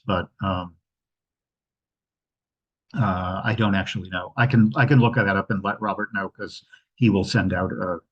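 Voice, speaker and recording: A low-voiced man fields questions after a travel talk online. His voice is somewhat rough and husky, and he speaks informally, pausing and thinking aloud as he works through his answers. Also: lo-fi sound. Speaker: travel presenter. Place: indoors at home, on a video call. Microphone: video call microphone.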